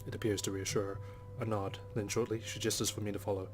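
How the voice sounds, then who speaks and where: A man's voice: fairly low pitch, little pitch movement, fast talker. A man reading a visual novel's narration aloud as a let's play host. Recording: game music behind the voice. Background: game music.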